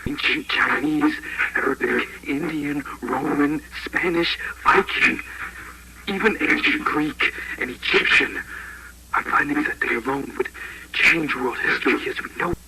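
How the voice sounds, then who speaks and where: A man playing a sober archaeologist who records a formal, measured log with many pauses, in a 1980s found-tape horror. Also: a little high, vocal fry. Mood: grave, awed. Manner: measured, formal, pausing between phrases. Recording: very noisy old tape log.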